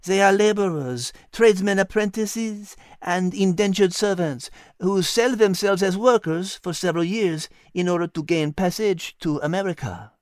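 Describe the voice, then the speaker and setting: A man's voice: high voice, normal speed, hardly any pauses, no room sound, clean studio sound, voice pushed up, lightly acted. A man voicing an elegant, clever female animal with a French accent, gracious and articulate, in a storybook. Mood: explaining.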